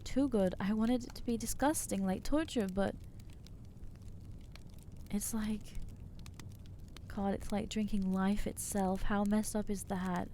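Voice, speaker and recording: A woman voicing an anxious, guilt-ridden new vampire in an ASMR roleplay, halting and self-doubting, with wry exasperation. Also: medium pitch, fairly even intonation, mellow, slight background noise, natural voice. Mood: craving, disgusted. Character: anxious newly turned female vampire, guilty and overwhelmed. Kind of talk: ASMR roleplay acting to the listener.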